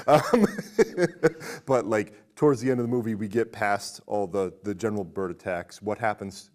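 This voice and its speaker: A man's voice: lowish voice, darkish tone. A man hosting a podcast, speaking casually in a conversational discussion of film. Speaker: podcast host.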